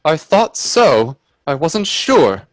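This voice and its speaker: Casting: male actor as cautious Edwardian time traveller, earnest, slightly high animated voice, close dry sound, radio drama. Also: takes it slowly, no echo. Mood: wary. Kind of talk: radio play acting and narration.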